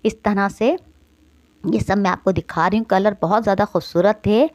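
A woman's voice: medium pitch, normal speed, no echo. A woman vlogger with a Karachi Urdu accent, talking straight to her viewers as she tours a clothing shop on her phone. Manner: lively, addresses viewers directly, prompting questions. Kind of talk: shop tour vlog, interviewing owner.

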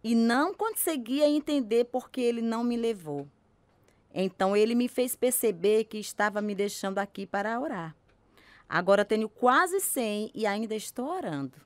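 Actress as lively-toned, devout Brazilian great-age grandmother. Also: fairly high pitch, moderate pace, no room sound, close to an everyday voice. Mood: gentle, devout. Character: devout grandmother of nearly one hundred, gentle, prayerful. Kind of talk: radio drama acting.

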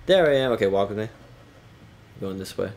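Gravelly low-voiced gamer man. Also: expressive, brisk, a little mellow, a little hiss or hum. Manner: chatty, self-mocking, calls out moves. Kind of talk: casual banter while playing.

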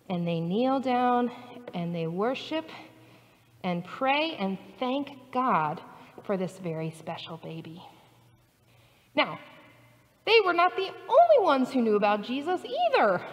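A woman pastor telling a Bible story to preschool children in an animated, expressive way, recorded in a church sanctuary by a distant camera. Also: a little high, long reverb tail. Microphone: far camera.

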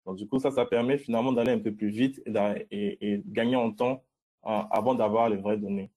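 A man's voice: on the low side, steady tone, a little fast, mellow, breathy edge. A man co-presenting at an online meetup, explaining informally and somewhat hesitantly, often repeating himself. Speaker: co-presenter answering questions. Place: video call.

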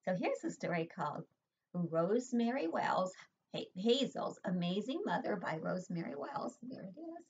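A woman's voice: medium pitch, very animated, normal speed, vocal fry, a little mellow, slight background noise. An older woman, a preschool teacher, giving a sing-song online lesson from home and asking the children questions. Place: home room, indoors. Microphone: webcam laptop mic. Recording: dull lo-fi webcam audio.

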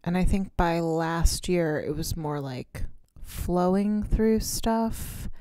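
A woman's voice: fairly low pitch, slow, dark tone, clean tone, dry recording. A woman, co-hosting a podcast in casual chat, candid and rambling with casual swearing. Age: 30s. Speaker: podcast co-host. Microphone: close podcast mic.

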